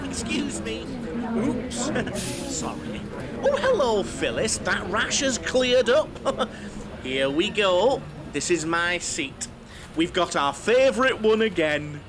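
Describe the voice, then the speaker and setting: An actor playing a chatty, fussy, jokey coach passenger in a comedy audio play, with a flustered, prattling manner. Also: male voice, sits high, sing-song, slow, a loud crowd, full character voice. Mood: chatty, playful.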